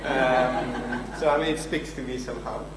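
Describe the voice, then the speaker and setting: A man's voice: mid-range voice, fairly even intonation, moderate pace. French man lecturing in an echoey hall. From France. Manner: joking and self-deprecating. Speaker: keynote speaker. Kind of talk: conference keynote lecture. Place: large conference venue. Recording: very echoey hall, distant mic, faint hum.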